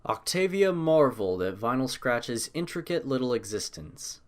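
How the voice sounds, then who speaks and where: Male narrator for scripted fiction. Mid-pitched, animated melodic range, storytelling delivery. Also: moderate pace.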